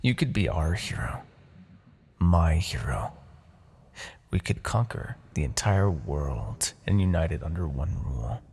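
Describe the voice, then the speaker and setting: A low, husky demon king, acted by a man, proud and vengeful, holds forth in a fantasy audio roleplay. His gravelly delivery is grandiose and deliberate, swinging widely in pitch between coaxing and menace. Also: expressive, darkish tone, full character voice. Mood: coaxing, intimate. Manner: theatrical, deliberate, imperious, with dramatic pauses. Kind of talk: fantasy ASMR roleplay monologue.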